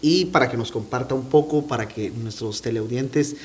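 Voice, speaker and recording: A man's voice: mid-range voice, normal speed, a little hiss or hum. A man hosting a TV interview, asking formal, polite questions with frequent hesitations. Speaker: TV interviewer, host.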